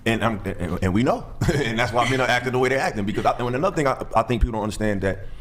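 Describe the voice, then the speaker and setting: A man's voice: medium pitch, expressive, rapid-fire, a bit bright, slight background noise. A man in his thirties, a guest on a panel discussion, speaking emphatically. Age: 30s. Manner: emphatic, acts out quoted voices.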